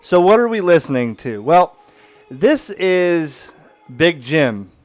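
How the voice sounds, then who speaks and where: A man's voice: a little high, slow pace, over music. A man hosting a ham radio video, casually musing about shortwave at his radio desk. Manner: relaxed, musing, wistful. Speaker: ham radio channel host.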